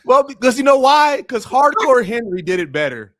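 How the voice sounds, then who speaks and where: A man with a high, very expressive voice shares opinions in casual podcast chat. He thinks aloud in a halting way, recorded close and dry. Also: no echo. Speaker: podcast panelist.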